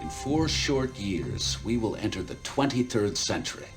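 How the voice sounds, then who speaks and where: An actor playing an aging, pompous president and former soldier giving a formal, grandiose speech in a game cutscene. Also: male voice, fairly low pitch, lively intonation, normal speed, over music, natural voice, rough recording. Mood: proud, solemn. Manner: grandiose, oratorical.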